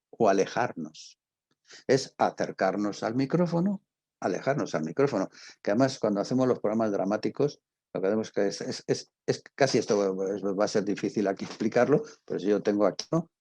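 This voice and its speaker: A man speaks as a teacher giving an informal lesson. His voice sits fairly low and has a distinctly rough, husky grain. He explains didactically, restating points and listing examples, in a very dry recording with hardly any echo. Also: mellow. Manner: didactic, rephrasing with lists and examples. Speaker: drama teacher and academic.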